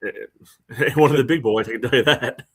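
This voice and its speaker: A man co-hosting a casual soccer podcast, thinking out loud in a wry way. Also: mid-range voice, a little fast, slightly rough. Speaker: sports podcast co-host.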